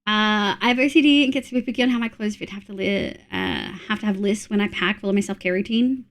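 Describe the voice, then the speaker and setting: A young woman streaming, chatting with viewers in a relaxed, supportive way while congested from a cold. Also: medium pitch, fast. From Australia. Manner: chatty, supportive, thinks aloud with fillers. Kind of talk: casual chat reading viewer messages.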